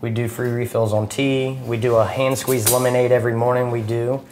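Even-toned man demonstrating food, background noise. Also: mid-range voice, fairly quick. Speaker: chef and food truck owner, guest. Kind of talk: casual cooking demonstration. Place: indoor studio kitchen. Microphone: lapel mic.

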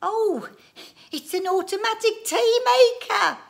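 A naive storybook housewife, voiced by a woman reader, pitched up high. She is down-to-earth and guileless, a practical mother with a matter-of-fact way of talking. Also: fairly even intonation, quick pace, darkish tone, natural voice. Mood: delighted.

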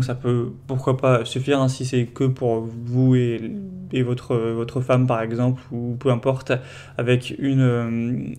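A man's voice: mid-range voice, a little mellow. A man presenting a product comparison as a casual voice-over, explaining things in a conversational, loosely scripted way.

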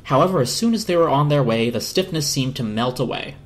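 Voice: medium pitch, expressive, brisk. A narrator giving a dramatic reading of a storybook. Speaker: narrator, reader.

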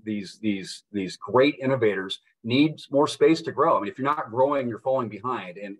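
A man's voice: medium pitch, low-quality recording. A middle-aged man presenting to a webinar audience over a video call from a room at home, earnest and upbeat, often restarting and repeating words. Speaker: business association leader presenting a webinar. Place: home room with window blinds. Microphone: webcam on a video call.

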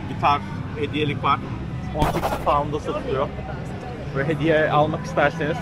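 A man in his thirties from Turkey, casually narrating a walking travel vlog with many drawn-out fillers, filmed at arm's length in a busy market. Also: on the high side. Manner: hesitant, many drawn-out fillers, steady and informal. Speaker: travel vlogger. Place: busy covered market and rainy square outdoors. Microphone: handheld camera at arm's length. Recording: noisy busy street ambience. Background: crowd chatter and city ambience.

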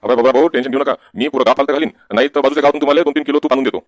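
A man's voice: mid-range voice, fairly even intonation, racing, no echo, dull audio, close to an everyday voice. A man voicing a wily, wheedling village debtor in a comic cartoon, with a rural Varhadi Marathi accent and questioning grunts tacked onto sentences. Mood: pleading, wheedling. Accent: rural Maharashtra, Varhadi. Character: wily village husband, evasive debtor sweet-talking the shopkeeper.

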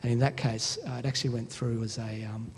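A man's voice: medium pitch, little pitch movement, brisk, a little mellow. Male finance panelist, middle-aged, explanatory talk, hall reverb and faint background noise. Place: large hall panel event with audience. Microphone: microphone held close.

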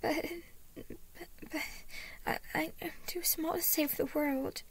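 Lady doing a scared little lost boy, quiet high breathy voice, stammers and whimpers, storybook style. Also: slow, exaggerated voice. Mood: scared, unsure. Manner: stammering, hesitant, timid. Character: frightened, whimpering six-year-old lost boy.

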